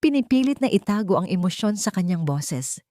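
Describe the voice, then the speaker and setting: A woman's voice: mid-range voice, big pitch swings, a little fast, dark tone, dry recording, studio quality. A woman with a Filipino accent reading a scripted dramatic story as its narrator.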